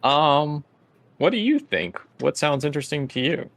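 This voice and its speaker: A man's voice: fairly high pitch, expressive, normal speed, fairly bright tone. A man leading a casual group discussion as the game master of a tabletop game.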